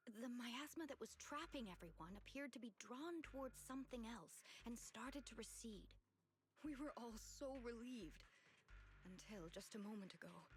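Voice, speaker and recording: A woman voicing an earnest, worried young disciple in a video game, telling her story in a measured way. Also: a little high, slight background noise, natural voice. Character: injured, worried young female disciple recounting a rescue. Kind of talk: dramatic game voice acting.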